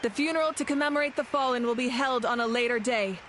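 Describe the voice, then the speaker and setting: A woman voicing a confident, warm female fire archon and war leader in a video game, assured and stately. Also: a little high, fast talker, slight background noise, natural voice. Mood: solemn, proclaiming.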